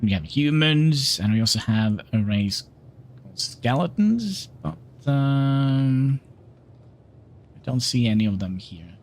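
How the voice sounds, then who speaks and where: Slow-talking man streaming a game. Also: mid-range voice, animated. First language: Norwegian. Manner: unhurried, reads game dialogue aloud, chats with viewers. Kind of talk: livestream gameplay commentary.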